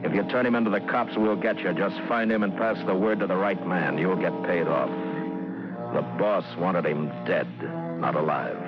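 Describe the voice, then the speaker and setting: A man acts as a grave American crime narrator on old radio, terse and scripted. His voice is very low. The recording is muffled, with loud music behind it. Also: fairly even intonation, moderate pace, lightly acted. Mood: grave, urgent.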